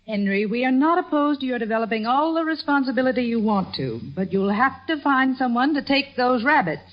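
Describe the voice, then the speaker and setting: Casting: kindly but firm middle-aged mom, fairly high voice, muffled vintage radio comedy. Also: female voice, animated, brisk. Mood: gently firm. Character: patient, practical, gently firm middle-aged mother. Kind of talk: 1940s radio comedy acting. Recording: dull old radio broadcast recording.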